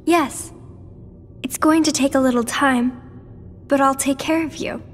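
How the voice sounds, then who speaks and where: An actress playing a gentle, wistful, reassuring teenage girl with a soft voice in a video game. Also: high voice, fairly even intonation, unhurried, faint music behind. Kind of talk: video game cutscene acting.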